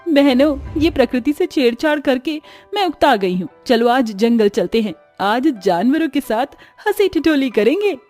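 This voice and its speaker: A woman voicing a playful, mischievous young girl with magical powers in a cartoon fairy tale, lively and teasing. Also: high-pitched, normal speed, hardly any pauses, faint music behind, pitched above the performer's own voice. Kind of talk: Hindi cartoon story voice acting.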